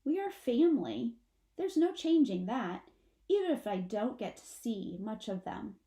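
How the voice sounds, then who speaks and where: A woman's voice: fairly high pitch, sing-song, measured pace, mellow. A woman voicing a mother possum in a storybook, a firm but wistful parent with a stagey, instructing manner.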